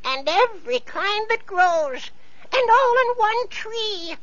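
A woman's voice: very high-pitched, lively intonation, slow, fairly bright tone, dull audio, full character voice. An actress playing a scheming, bossy mother in an old radio play, bossing her daughters about. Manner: bossy, fawning to royalty. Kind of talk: 1940s radio acting.

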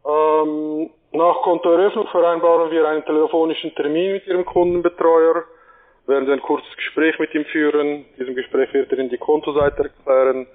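Male phone sales agent, formal and explanatory, slightly raised pitch, narrow telephone sound. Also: level tone, fairly quick. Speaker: call centre sales agent.

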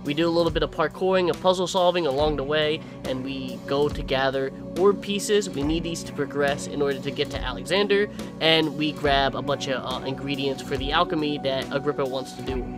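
A man's voice: fairly high pitch, brisk. Jokey young man over game music. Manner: jokey, excitable, swears and shouts. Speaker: gameplay commentator. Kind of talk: gameplay commentary.

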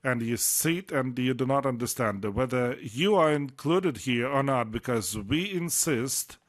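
A man interpreting a formal speech live, delivering it in halting phrases with frequent hesitations. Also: medium pitch, lively intonation. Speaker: simultaneous interpreter.

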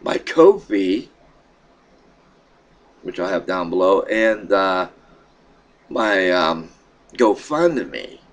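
A middle-aged man with a froggy throat, casually talking to his webcam at home in a rambling, halting way. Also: deep voice, very animated. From Pennsylvania. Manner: rambling, halting, with many fillers and restarts. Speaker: vlogger. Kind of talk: casual vlog update. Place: small room in a home, indoors. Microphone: webcam at desk.

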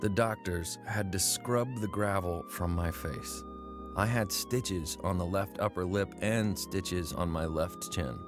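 Deep-voiced man narrating his story. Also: slow. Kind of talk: first-person testimony narration in a radio drama. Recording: music bed under the voice.

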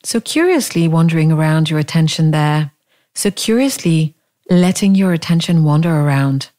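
A woman leading a guided body scan meditation in a calm, gentle, unhurried manner, leaving long pauses. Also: low voice.

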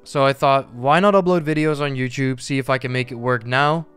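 A man's voice: mid-range voice, fairly even intonation, a little fast, a bit bright, clear, resonant tone, faint music behind. A young man, a native Dutch speaker, talking candidly in English about his channel in a rambling, freestyled monologue with occasional swearing. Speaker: YouTuber. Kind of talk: casual talking-head commentary over gameplay.

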